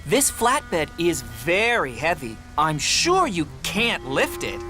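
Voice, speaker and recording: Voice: mid-range voice, huge pitch swings, slow pace, music in the background. Eager, cheeky little steam engine. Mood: scheming. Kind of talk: children's cartoon character acting.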